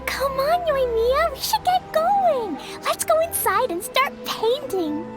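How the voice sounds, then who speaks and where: A woman's voice: extremely high pitch, big pitch swings, slow, full character voice. A woman voicing a small, cheerful and innocent girl who chatters in a bubbly childlike way. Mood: excited. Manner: bubbly, childlike, says "super duper" and "Mister". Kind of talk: game voice acting. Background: game music.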